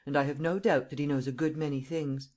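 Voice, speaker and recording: A reader voicing a frail, weary young widow who speaks quietly and subdued. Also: mid-range voice, fairly even intonation, fairly quick, close to an everyday voice. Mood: sorrowful.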